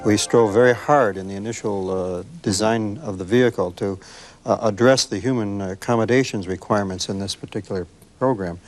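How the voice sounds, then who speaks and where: An American man speaks in a low voice in a panel discussion. His delivery is measured and halting, with formal corporate phrasing. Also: soft background music. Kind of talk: panel discussion about product development.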